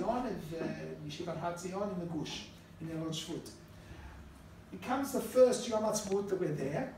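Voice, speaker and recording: Rabbi lecturing in an echoey room. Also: male voice, high voice. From Australia. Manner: relaxed storytelling with asides to the students. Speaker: rabbi teaching a class. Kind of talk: conversational classroom lecture. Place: classroom with a live audience. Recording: echoey distant classroom recording with faint noise.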